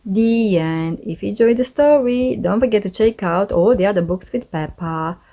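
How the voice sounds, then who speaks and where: Animated woman reading a children's storybook. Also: medium pitch, normal speed. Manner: expressive, addressing young listeners directly. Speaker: host reading children's books aloud. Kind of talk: read-aloud storybook narration for children.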